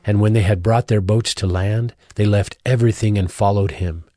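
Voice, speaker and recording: A man's voice: lowish voice, fairly even intonation, moderate pace, dark tone, no room sound. A man narrating a dramatized Bible reading from a script. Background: music.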